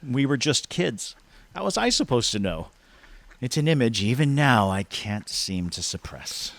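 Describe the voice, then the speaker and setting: A man's voice: medium pitch, very animated, a little slow, vocal fry, a bit bright, no room sound, exaggerated voice. A man playing a dry, good-natured older man who teases in a plain-spoken way, in a naturalistic drama. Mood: sheepish. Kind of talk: naturalistic short film drama acting.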